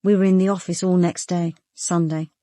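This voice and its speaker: An actress plays a British female police detective in a radio drama. Her voice is fairly low and soft-edged, close and dry, with a brisk, businesslike delivery. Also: level tone, dry recording, natural voice.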